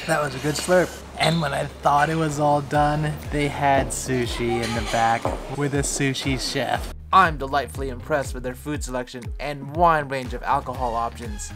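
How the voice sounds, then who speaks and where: A man in his thirties, somewhat high-voiced, chats upbeat into a handheld camera from his seat on a plane. He talks loosely, with lots of "like" fillers. Loud background music runs under his voice. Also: a little slow. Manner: upbeat, chatty, many "like" fillers. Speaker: travel vlogger. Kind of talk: casual travel vlog review. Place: airplane cabin seat. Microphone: handheld camera at arm's length.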